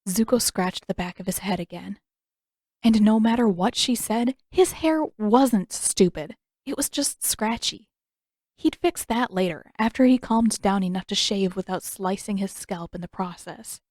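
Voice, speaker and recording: A woman's voice: mid-range voice, a little slow, a little mellow, no room sound. Woman narrating fiction in a dry recording. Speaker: podfic narrator and author. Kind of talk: scripted fiction narration, expressive. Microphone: close microphone, dry room.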